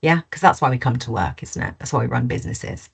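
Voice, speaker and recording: A woman's voice: extremely low pitch, animated, fast talker, gravelly, slightly rough. A woman hosting a webinar in an informal, chatty style, with colloquial sales patter. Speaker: webinar host.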